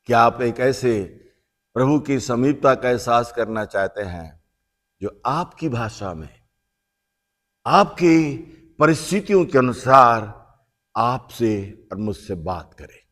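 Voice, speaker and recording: A man preaching a sermon as a bishop, slow and emphatic, swinging from loud repeated phrases down to soft, quiet lines. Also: mid-range voice, animated. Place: church. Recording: long church reverb.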